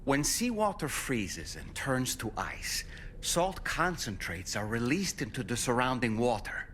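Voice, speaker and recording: Brainy game companion guy, measured n precise, lots of melody in his voice, faint rumble behind. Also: very animated, a bit bright. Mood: pensive, explanatory. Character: thoughtful, scholarly male companion explaining strange phenomena. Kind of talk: video game cutscene acting.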